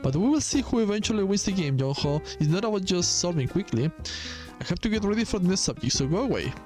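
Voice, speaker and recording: A man voicing a cool, competitive teenage top student, a girl in a video game, with a brisk, challenging manner. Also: high voice, very animated, a little mellow, soft background music. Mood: competitive, dismissive.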